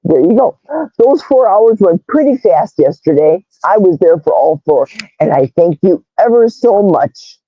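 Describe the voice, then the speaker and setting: A woman with a loud, raspy, brash voice hosting a casual livestream, reading chat comments aloud and greeting viewers. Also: fairly low pitch, unhurried, no echo, muffled recording. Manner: animated, reads comments aloud, squeals greetings. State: hoarse, vocal fry.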